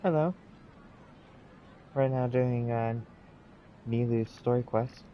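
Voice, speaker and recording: A man's voice: mid-range voice, steady tone, slow. A man streaming a game, talking casually and reading dialogue aloud. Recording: dull, lo-fi sound, faint background noise.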